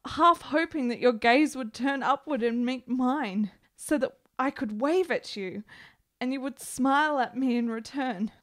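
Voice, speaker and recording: A woman's voice: high-pitched, unhurried, fairly bright tone. An actress playing a sickly, lovestruck woman in a period radio drama, melodramatic and pleading in florid speech. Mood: fervent, wistful. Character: sickly, lovestruck, desperate woman in 1870s Italy.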